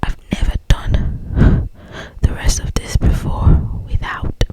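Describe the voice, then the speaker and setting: A woman voicing a yearning, anguished old male vampire lover in a breathy, hesitant whisper for an ASMR story. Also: slow pace, close to an everyday voice. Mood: anguished longing.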